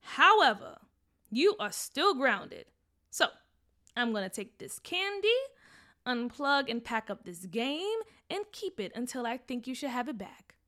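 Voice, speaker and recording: Storybook mom: woman voicing a firm, scolding, protective parent, slightly high voice. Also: expressive, normal speed. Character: stern, protective mother.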